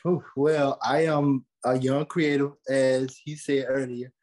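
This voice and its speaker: A man's voice: medium pitch, level tone, takes it slowly, a little mellow. Young man, casual interview guest. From South Carolina, USA. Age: late teens. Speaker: interview guest, podcaster and motivational speaker. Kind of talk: casual conversational interview answers.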